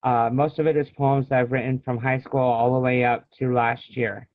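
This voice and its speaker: American guy author in a casual interview, halting, hunts for words, medium pitch, sounds like a phone call. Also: flat delivery, no echo. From United States. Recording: thin phone-like call audio.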